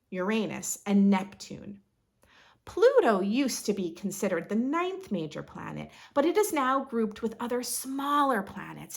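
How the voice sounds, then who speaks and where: Expressive woman reading slowly to children. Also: medium pitch, vocal fry. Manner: expressive, instructive, asks listeners questions. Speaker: children's read-aloud host. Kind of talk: reading a picture book aloud to young children.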